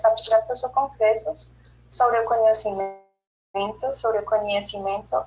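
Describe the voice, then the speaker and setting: A woman's voice: fairly high pitch, poor audio. Woman presenting, hesitant. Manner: careful, hesitant, with many fillers and restarts. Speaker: study group presenter. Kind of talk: online study group presentation. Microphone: video call.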